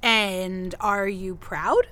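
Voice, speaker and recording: Slow, bright-voiced, defiant woman. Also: medium pitch, steady tone, dry recording. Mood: challenging. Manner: deliberate, pointed, with weighted pauses. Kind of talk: naturalistic audio drama dialogue.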